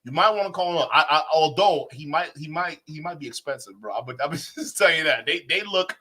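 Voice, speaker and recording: An animated man riffs over a clip he is watching, full of slang and quick laughs. His voice sits a little high and sounds bright and pushed, with a husky edge. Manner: excitable, slangy, says bro a lot, laughs often. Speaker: streamer reacting to a clip. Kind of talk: casual reaction commentary.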